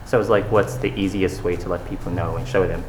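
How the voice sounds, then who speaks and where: A man's voice: sits low, steady tone, quick pace, a little mellow, reverberant, slight background noise, rough recording. A young man from Saudi Arabia, answering interview questions in a casual, earnest way, with fillers and restarts. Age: young adult. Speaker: interview guest, fashion designer.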